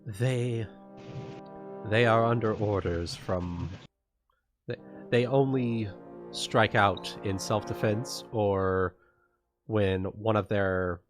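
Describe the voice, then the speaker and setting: A man acting as game master, narrating a tabletop game casually in a halting way. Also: lowish voice, fairly even intonation. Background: loud music.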